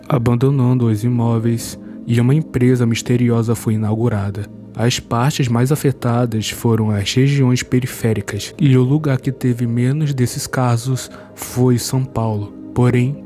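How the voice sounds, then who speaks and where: Brazilian man narrating, mellow dark voice. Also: medium pitch. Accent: Brazilian Portuguese. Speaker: horror video narrator. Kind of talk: scripted narration summarizing an analog horror series.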